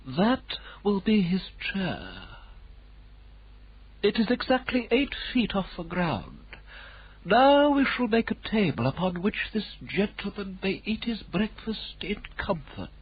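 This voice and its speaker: A dignified butler, put on by a woman reading aloud, giving orders in the softest hushed whisper. He speaks slowly, and the breathy voice still rises and falls a lot. The recording sounds dull and muffled. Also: medium pitch, sing-song, full character voice. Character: stately old butler man, never raises his voice.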